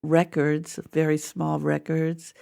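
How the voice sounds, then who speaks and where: An older woman with a mixed Midwestern, New York and Southern accent and a trace of Spanish, talking patiently like a teacher in a quiet room. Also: low-pitched, completely flat delivery, takes it slowly, vocal fry, clear, resonant tone, no echo, studio quality. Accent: hybrid of Midwest, New York and Southern with a trace of Spanish. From Midwestern United States. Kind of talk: casual conversation.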